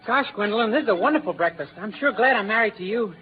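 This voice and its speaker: A man's voice: high voice, lively intonation, fast talker, narrow, tinny audio, faint music behind. A man playing a naive, cheerful, guileless young husband in an old radio comedy sketch. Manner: guileless, eager. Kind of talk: 1940s radio comedy acting. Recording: scratchy old radio broadcast, noisy audience.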